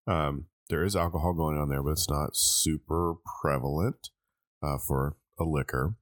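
A congested man hosting a casual blind liquor tasting, thinking aloud with many pauses. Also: very deep voice, steady tone, no echo, studio quality.

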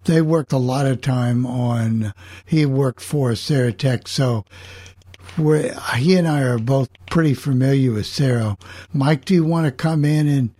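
A man with a husky voice, casually moderating a group conversation. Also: medium pitch, measured pace, dark tone, no echo, studio quality.

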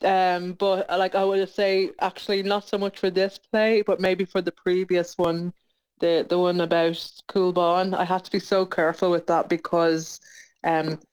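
A woman's voice: fairly low pitch, darkish tone, clean tone, dull audio. A woman talking informally as a radio interview guest, chatty and often correcting herself as she goes. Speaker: interview guest, playwright and producer.

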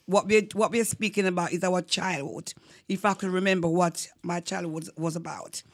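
A Jamaican woman telling a childhood folk story as a guest, rambling and warm, breaking into singing. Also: on the low side, normal speed.

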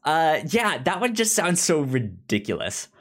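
A man co-hosting a podcast in casual chat, animated, with halting, repetitive phrasing. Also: a little high. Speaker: podcast co-host.

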